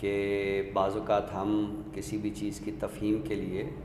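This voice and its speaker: A man explains literature as a talk show guest, measured and scholarly, often posing rhetorical questions. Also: on the low side, completely flat delivery. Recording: echoey hall-like room with faint noise.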